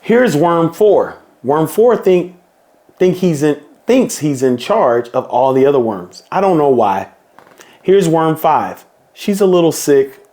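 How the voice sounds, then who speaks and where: A man reading a children's picture book aloud in a slow, playful storybook manner. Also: mid-range voice, warm tone.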